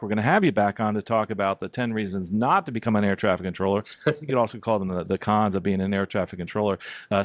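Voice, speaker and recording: A man's voice: on the low side, no echo, studio quality. A man hosting a podcast in a conversational, encouraging way, speaking directly to his listeners. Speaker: podcast host.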